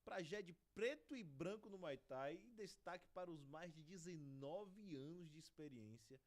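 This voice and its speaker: A man's voice: fairly high pitch, expressive, normal speed. A Brazilian man hosting a studio podcast interview, talking with reverence about honor and friendship. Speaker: podcast host.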